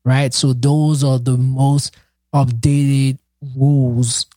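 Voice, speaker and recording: A man's voice: medium pitch, flat delivery, slow pace, warm tone, dry recording, studio quality. A man whose first language is Haitian Creole, co-hosting a casual podcast, talking earnestly and passionately with lots of fillers and restarts. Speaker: podcast co-host and project founder.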